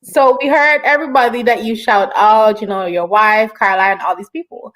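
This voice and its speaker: A woman's voice: mid-range voice, fairly even intonation, normal speed, a bit bright, poor audio. A woman hosting an online show, interviewing in a casual, conversational and encouraging way.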